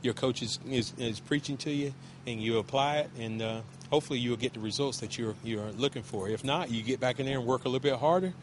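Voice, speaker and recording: A man, a wrestling coach, talking casually in an interview with a loud voice, emphatic and repetitive, with many restarts. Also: medium pitch, fast talker, few pauses, slight background noise.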